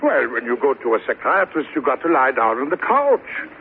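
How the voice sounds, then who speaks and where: An actor playing a chummy, easily flustered psychiatrist in an old radio sitcom, with a sing-song, fussy manner. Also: male voice, mid-range voice, very animated, brisk. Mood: coaxing, flustered. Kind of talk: 1940s-50s radio sitcom acting. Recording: noisy old-time radio, tinny, audience.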